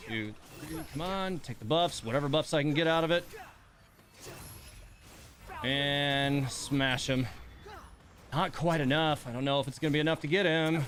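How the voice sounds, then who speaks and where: A man's voice: on the high side, normal speed, pauses often, a bit bright, faint music behind. A man livestreaming a game, thinking aloud in casual commentary and muttering complaints and coaching to himself.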